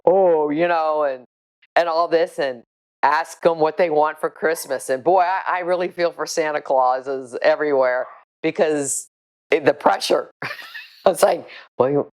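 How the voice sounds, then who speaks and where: An older woman with a low voice, a shelter volunteer speaking earnestly and firmly about her work in casual talk to camera. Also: low-quality recording. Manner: earnest, deliberate, firm. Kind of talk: casual spoken account to camera, showing photos.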